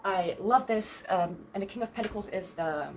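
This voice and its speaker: A woman in her twenties doing a tarot reading, with a slightly raspy voice and a hesitant, rambling way of talking full of fillers. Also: medium pitch, normal speed, a little hiss or hum. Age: 20s. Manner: hesitant, rambling, self-interrupting with many fillers. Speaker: tarot reader.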